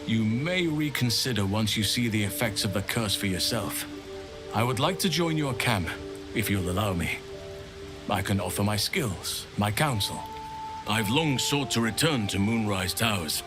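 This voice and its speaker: An actor playing a grave, wise, courteous middle-aged druid in a fantasy game, speaking in a measured, formal way. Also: male voice, fairly low pitch, animated, over music, lightly acted. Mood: grave, earnest. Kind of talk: scripted fantasy game dialogue.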